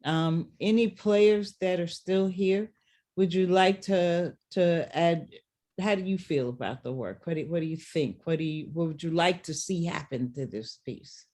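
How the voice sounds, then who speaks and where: A middle-aged host leads a post-show talk at an unhurried pace. The delivery is reflective and halting, with words often repeated while searching for the next thought. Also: medium pitch, a little mellow. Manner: reflective, halting with word repetitions. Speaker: theater director and discussion host.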